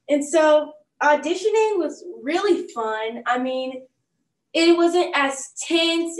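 A teenage girl, a young stage actor, chatting casually in a bookstore, relaxed and full of "like" and "um". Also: high voice. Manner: relaxed, lots of "like" and "um". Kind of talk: casual chat. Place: bookstore, seated on a stool. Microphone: far camera. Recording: roomy sound from a distant mic.

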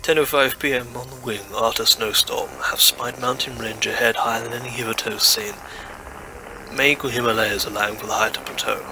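A man's voice: medium pitch, steady tone, fairly quick, over music, natural voice, rough recording. A man playing a driven, zealous scientist-explorer in an audio drama, earnest and intense. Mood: excited, reporting. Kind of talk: dramatic audio play acting.

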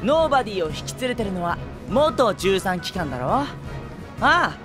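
A man's voice: high-pitched, big pitch swings, quick pace, music in the background. A man playing a brash, curious teenage boy hero in a Japanese fantasy game. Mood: brash challenge.

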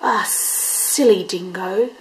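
A woman voicing a smug old male lizard who hisses slowly. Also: medium pitch, lightly acted, rough recording. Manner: slow, hissing.

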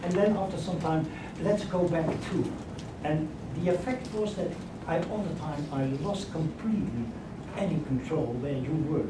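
A man in the audience of a lecture asking the speaker a question, halting and searching for words. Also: a little high. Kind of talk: question from the floor. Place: large carpeted conference room. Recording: echoey hall from far off, noisy.

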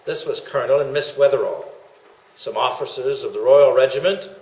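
An older man voicing a formal 19th-century British army officer in a measured dramatic memoir reading. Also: medium pitch, a little mellow, close to an everyday voice. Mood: formal, reflective. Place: indoor meeting room. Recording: echoey room.

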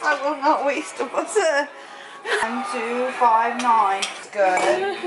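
A woman's voice: fairly high pitch, expressive, takes it slowly, heavy background noise. A young adult woman chatting while cooking in a home kitchen, with a dry, teasing manner. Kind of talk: casual kitchen chat.

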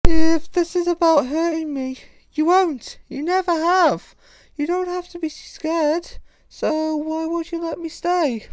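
A caring young animatronic clown girl, played by a woman, high in pitch. She talks slowly and earnestly, with a gentle, hesitant delivery suited to an emotional animated drama. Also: dry recording. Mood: reassuring. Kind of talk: emotional comic dub acting.

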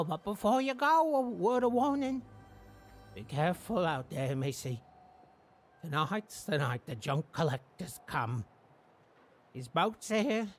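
An actor playing a shifty male stranger at a harbor in a video game, talking in a slow folksy drawl with slang, over game music. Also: on the high side. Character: shifty male dockside stranger, sly and gruff.

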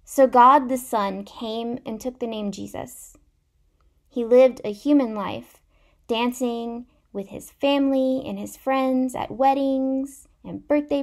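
A woman in her thirties telling a Bible story in simple, measured phrases, recorded in a room at home. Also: on the high side, darkish tone. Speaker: church storyteller. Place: small indoor room at home. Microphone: laptop or webcam at arm's length.

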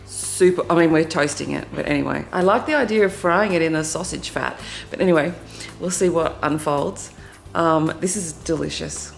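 A woman's voice: low-pitched, moderate pace, vocal fry. A woman chatting casually over a meal in a cabin, rambling and trailing off between thoughts. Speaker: guest at the meal. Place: cabin dining room, indoors. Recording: roomy with music behind.

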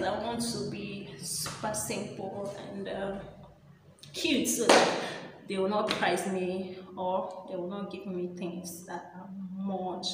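A young Nigerian woman talks chattily straight to her viewers, filming herself on a camera held close. Her voice rings around a bare, very echoey bedroom, with a faint hiss of noise behind it. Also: mid-range voice. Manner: chatty, lively, addresses viewers directly. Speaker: vlogger. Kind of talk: casual vlog talk to camera. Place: small bedroom indoors.

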